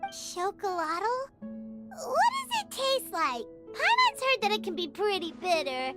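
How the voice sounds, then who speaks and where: A woman voicing a tiny, chirpy fairy-girl companion in a game, excitable and chatty, with loud music behind her. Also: takes it slowly, exaggerated voice. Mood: wary. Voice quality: high, squeaky. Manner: excitable and chatty, refers to herself by name.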